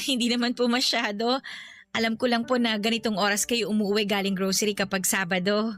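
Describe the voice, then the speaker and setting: A woman playing a gentle, reassuring young niece in a radio drama, with a Filipino accent. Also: mid-range voice, little pitch movement, fairly quick, faint music behind, lightly acted. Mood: polite, eager. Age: young adult. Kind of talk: Tagalog radio drama acting.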